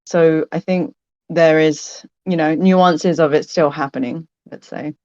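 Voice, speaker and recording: Woman w/ a low voice, thinks out loud, restarts a lot, kinda muffled dry recording. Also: steady tone, unhurried, no echo. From London, UK. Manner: thoughtful, hesitant, restarts phrases and laughs often. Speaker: podcast guest. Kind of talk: casual interview conversation.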